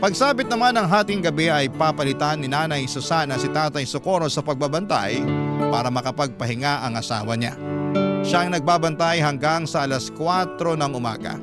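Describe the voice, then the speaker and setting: A man's voice: on the high side, fairly quick. A man with a Filipino accent reading a scripted first-person story in a classic radio narration style. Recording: loud guitar music under narration. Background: plucked guitar music.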